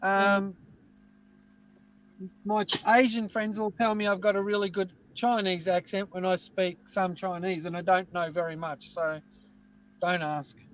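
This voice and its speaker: A man's voice: sits high, little pitch movement, a little slow, fairly bright tone, muffled recording. A man with Scottish family roots and a mixed British Isles accent, chatting casually as a guest and rambling in a self-deprecating way. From Scotland. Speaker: guest caller. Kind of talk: casual chat.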